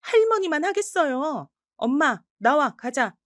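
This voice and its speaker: A woman's voice: a little high, animated, normal speed, mellow, no room sound, studio quality, voice pushed up. A woman voicing a grown, married daughter who talks back sharply and defiantly.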